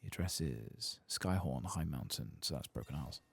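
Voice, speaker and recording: A low-voiced man gives soft ASMR commentary on a game he is playing. He sounds tired and casual, muttering to himself with a heavy vocal fry and sometimes whispering. There is faint noise behind him. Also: expressive, normal speed. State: tired, late at night. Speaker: host of a game playthrough.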